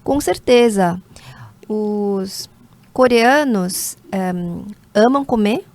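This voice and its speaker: A woman in her forties from South Korea, a corporate lawyer giving an interview at a measured pace with frequent hesitations. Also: mid-range voice, darkish tone, dry recording. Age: 40s. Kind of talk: conversational interview.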